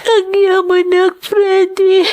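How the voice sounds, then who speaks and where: Actress as a high-voiced, grieving young Ilocano woman. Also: monotone, a little mellow. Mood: tearful, grieving. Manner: tearful, slow, halting delivery. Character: grieving young woman Daniela pleading for forgiveness. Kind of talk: melodramatic Ilocano radio-style drama acting.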